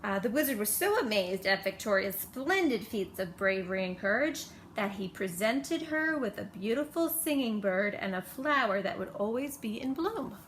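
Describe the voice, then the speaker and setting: A woman reading a bedtime story aloud to children in an expressive storytelling style. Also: mid-range voice, normal speed, hardly any pauses, slight background noise, low-quality recording.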